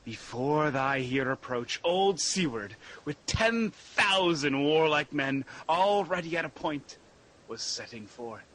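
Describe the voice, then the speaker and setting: Male actor as an earnest royal heir for classical radio tragedy; husky, slow and declamatory verse. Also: fairly high pitch, expressive, a bit bright, heavily acted, low-quality recording. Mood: earnest, resolute. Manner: deliberate, declamatory verse delivery. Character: Malcolm, earnest exiled Scottish prince rallying for war. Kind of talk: Shakespearean radio drama acting.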